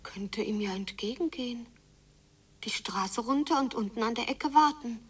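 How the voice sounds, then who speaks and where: A woman playing a yearning, stubborn young girl in a radio drama, speaking breathily in an intense, halting, hushed way. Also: a little high, breathy edge, recorded from a distance. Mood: yearning, impatient. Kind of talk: radio drama inner monologue, often whispered.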